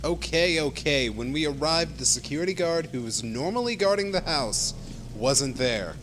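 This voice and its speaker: A man's voice: on the high side, lively intonation, moderate pace, fairly bright tone. A man playing a nervous, defensive young witness in an amateur radio play. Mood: defensive, flustered. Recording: faint noise, rain behind.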